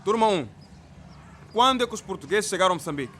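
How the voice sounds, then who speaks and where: A male actor playing a brisk, strict school director with a formal, commanding manner in a radio drama. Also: high-pitched, animated, sharp tone, slight background noise. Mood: brisk, official.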